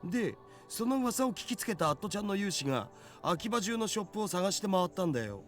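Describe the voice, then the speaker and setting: Visual novel role: nerdy young net-savvy guy, rapid-fire casual lecturing, slightly high pitch. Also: big pitch swings. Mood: geeky, explanatory. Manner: rattling off explanations, slangy. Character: geeky young male net-savvy otaku, casual know-it-all. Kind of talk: Japanese visual novel voice acting. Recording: game dialogue with music behind.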